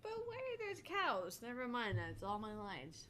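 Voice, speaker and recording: High, lively woman bantering casually. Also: measured pace. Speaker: co-host of a gaming channel. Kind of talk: casual banter while reading a visual novel aloud.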